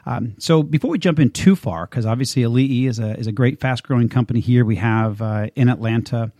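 A man's voice: mid-range voice, a little fast, warm tone, no room sound. A man hosting a radio interview show, chatting casually with many fillers and restarts.

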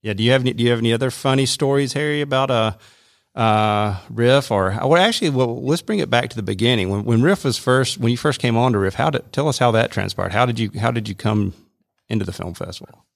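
A man's voice: medium pitch, fast talker, creaky, no room sound. A man hosting a casual chat, a festival director who shares stories and asks easygoing questions. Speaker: host, film festival executive director.

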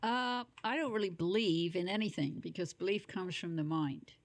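A woman's voice: fairly low pitch, moderate pace, no room sound. A woman speaking as an interview guest in a casual, reflective conversation.

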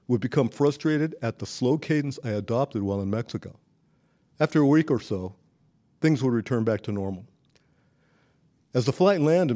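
A man with an American accent narrating scripted personal stories in a measured, articulate, audiobook-like way. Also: mid-range voice, dark tone. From Los Angeles, United States.

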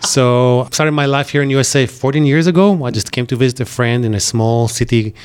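A man from Israel with an Israeli accent, an IT business owner chatting as a radio guest, lively and animated, often adding "right?" and "like". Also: medium pitch, fairly quick, clean tone. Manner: lively storyteller, acts out quoted dialogue, frequent "right?" and "like". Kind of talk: casual interview chat. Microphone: studio microphone.

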